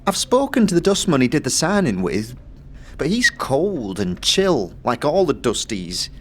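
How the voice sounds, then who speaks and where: An actress playing a timid, worried middle-aged working-class wife in an audio drama, speaking haltingly and repeating her words. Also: sits low, sing-song, slight background noise. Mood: bitter.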